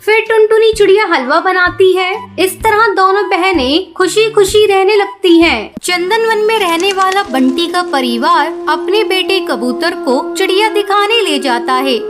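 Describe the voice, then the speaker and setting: A woman's voice: very high voice, a little slow, hardly any pauses. A woman reading scripted narration for a children's story. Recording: music behind the voice.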